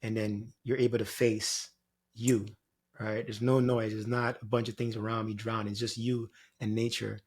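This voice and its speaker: A man's voice: lowish voice, flat delivery, moderate pace, slightly rough. Man talking reflectively, loosely and informally. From Jamaica. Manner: informal, meandering, frequent "you know" and "like". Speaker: spiritual guide, podcast guest. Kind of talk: reflective interview talk.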